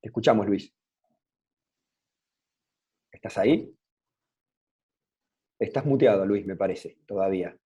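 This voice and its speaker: A man's voice: on the low side, measured pace, long pauses, dark tone, breathy edge, highs cut off. A man with a Rioplatense Spanish accent moderating an online business webinar over a video call, inviting guests to speak.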